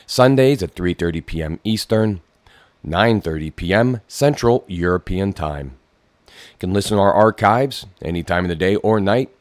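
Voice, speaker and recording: Deep, froggy-voiced male radio host. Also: darkish tone, no echo. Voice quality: froggy, low voice. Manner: formal announcer delivery with light self-deprecating asides. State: hoarse from a cold. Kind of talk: bilingual community radio announcing.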